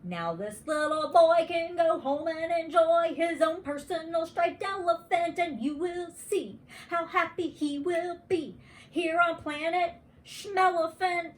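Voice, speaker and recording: Woman voicing an eager, high-pitched anxious little boy. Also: slow pace. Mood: eager, silly. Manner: eager, unsure, questioning. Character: earnest, anxious young boy aardvark, storybook hero.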